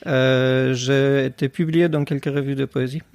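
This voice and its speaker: A man's voice: medium pitch, steady tone, normal speed, darkish tone, no room sound, studio quality. A man, a podcast guest talking casually and hesitantly with many fillers. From Poland. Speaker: guest, tech company cofounder.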